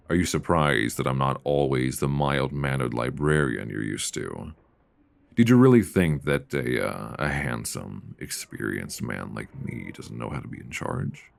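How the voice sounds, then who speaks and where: A man playing a mild-mannered, flirtatious middle-aged librarian who can turn quietly commanding, in an intimate, conversational ASMR roleplay on a close mic. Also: very deep voice, expressive, slow, vocal fry, pauses often, dry recording, full character voice. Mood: dominant, teasing. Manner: conversational, hesitant, teasing. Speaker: audio roleplay performer. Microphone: close binaural microphone.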